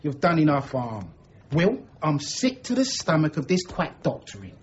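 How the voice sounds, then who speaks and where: A reproachful, sardonic male sidekick in a radio play. His voice is high and very animated. The recording is lo-fi with faint noise. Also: moderate pace. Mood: angry, reproachful. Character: grumbling, sceptical male accomplice of a swindler. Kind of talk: radio drama acting.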